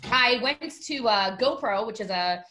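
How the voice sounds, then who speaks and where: A woman in her thirties, a guest telling a story in casual chat, animated and acting out quotes with lots of fillers. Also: fairly high pitch, a little slow.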